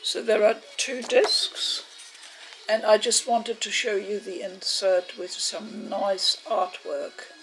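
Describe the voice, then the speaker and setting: A woman giving a casual, chatty unboxing commentary in a measured, hesitant way, with a creaky voice. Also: mid-range voice, little pitch movement, poor audio. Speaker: video game unboxing host. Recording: faint background noise.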